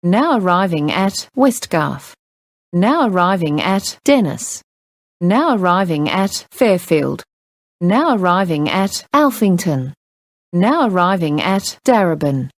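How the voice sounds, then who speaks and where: A woman making formal, measured, clearly enunciated scripted train announcements. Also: lowish voice, very animated, no echo. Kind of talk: scripted public transport announcements.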